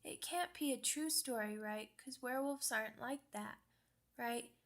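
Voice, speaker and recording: Woman voicing a sad, slow-talking young werewolf girl. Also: fairly high pitch. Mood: wistful storytelling. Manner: hesitant, childlike simple phrasing. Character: sad young female werewolf pup, orphaned and earnest. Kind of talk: ASMR roleplay monologue.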